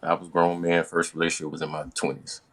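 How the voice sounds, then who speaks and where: A man's voice: sits low, monotone, fast, heavy background noise. An American man hosting a podcast, casually telling stories from his younger days in slangy, profane talk, acting out the dialogue as he goes. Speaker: podcast host.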